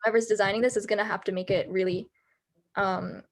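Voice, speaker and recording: An American young woman in her late teens, chatting eagerly about the play she wrote. Her voice sits at an everyday middle pitch, and her talk is explanatory and lively, with frequent "like"s. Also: brisk. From United States. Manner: enthusiastic, explanatory, with fillers like "like". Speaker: student playwright. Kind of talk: casual discussion on a video call.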